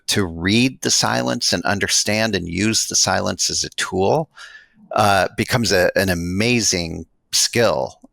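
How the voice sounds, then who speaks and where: A man's voice: on the low side, vocal fry, pushed and bright, dry recording. An American man, a guest author in an interview, explaining his ideas in a reflective way. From United States. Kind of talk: interview conversation.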